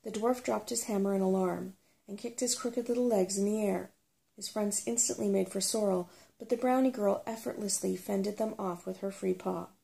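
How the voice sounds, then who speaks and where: A woman reads a children's fantasy novel aloud for ASMR. Her delivery is soft and unhurried. The voice is dark and relaxed, with a creaky edge. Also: medium pitch. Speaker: storybook reader.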